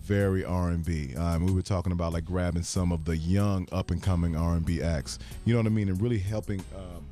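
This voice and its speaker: A man's voice: low-pitched, steady tone, a little fast, few pauses, mellow, soft background music. A man who is a singer, chatting easily as a guest on a radio show, chuckling as he talks. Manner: easygoing, chuckling. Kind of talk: casual interview chat. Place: radio studio.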